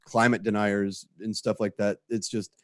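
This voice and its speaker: A man's voice: on the low side, level tone, normal speed, fairly bright tone, dry recording, studio quality. A man speaking casually in an interview as the writer of a show.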